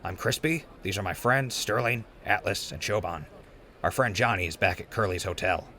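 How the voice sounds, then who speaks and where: A man's voice: fairly low pitch, normal speed, pushed and bright, a little hiss or hum. A man playing a friendly, wisecracking ghoul wastelander in an audio drama, with a casual, joking manner.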